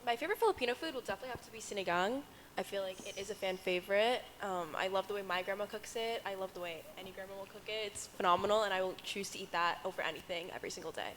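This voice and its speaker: A woman's voice: mid-range voice, rapid-fire, fairly bright tone. A sixteen-year-old girl chatting casually in a roundtable. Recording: reverberant room with faint ambient noise.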